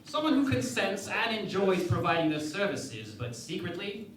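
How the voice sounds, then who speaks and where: An actor playing a pompous, lecherous starship captain in a parody radio play, with a theatrical, grandiose delivery. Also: male voice, high voice, very animated, moderate pace, fairly bright tone, far from the mic. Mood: suggestive, gleeful. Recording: echoey room, off-mic, background noise.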